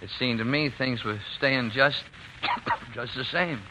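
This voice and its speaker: An actor playing a gentle, weary father with a halting way of talking in a 1940s radio drama. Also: mid-range voice, lightly acted. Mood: weary, subdued. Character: gentle, weary, ailing Irish-American father of a girl. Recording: noisy old 1940s radio broadcast.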